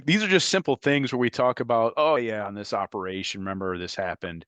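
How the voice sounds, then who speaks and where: A man's voice: fairly low pitch, fairly quick, fairly bright tone, smooth, no echo. A middle-aged man who is a guest on an interview show, talking reflectively and chuckling now and then as he tells stories. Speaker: guest, former FBI agent. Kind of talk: conversational interview.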